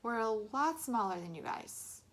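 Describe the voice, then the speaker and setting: A woman's voice: on the high side, expressive, unhurried, fairly bright tone. Woman reading as a small, earnest schoolboy. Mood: anxious, pleading. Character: small, earnest, cautious young schoolboy narrator.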